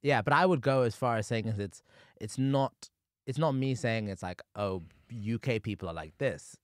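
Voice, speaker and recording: A young man from Zimbabwe hosting a podcast in a small studio, animated and quick to laugh, talking into a boom mic. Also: no echo. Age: young adult. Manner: animated, laughs often, gestures while talking. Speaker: podcast host. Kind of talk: casual podcast chat. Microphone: boom mic on arm, headphones.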